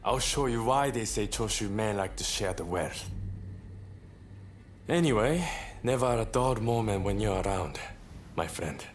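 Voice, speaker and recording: An actor playing a bold, charming young samurai rebel leader in a video game cutscene, relaxed and self-assured. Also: male voice, lowish voice, a little slow, slight background noise. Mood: easygoing, charming.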